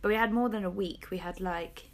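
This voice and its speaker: A woman's voice: medium pitch, brisk. A woman of twenty-two with a British accent, hosting a podcast in an informal chatty way and often correcting herself. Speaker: podcast host. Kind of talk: casual chat.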